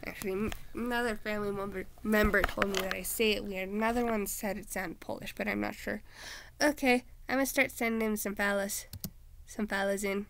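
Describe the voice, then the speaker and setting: A woman's voice: mid-range voice, steady tone, a little fast, vocal fry, fairly bright tone, a little hiss or hum. A young adult woman giving casual let's-play commentary, chatting and muttering asides to herself. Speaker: gaming video creator.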